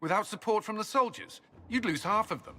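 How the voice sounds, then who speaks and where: A practical military commander in a fantasy game. He is blunt, with a bright, forceful tone and an animated pitch, over faint music. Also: brisk, heavily acted. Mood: urgent. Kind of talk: dramatic video game acting.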